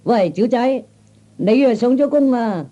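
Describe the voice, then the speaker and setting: A man's voice: extremely high pitch, measured pace, mellow, highs cut off. A man voicing a pushy, nosy male crony who needles and demands, in a Cantonese radio comedy from the 1960s. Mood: pushy, needling. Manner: needling, insistent questioning.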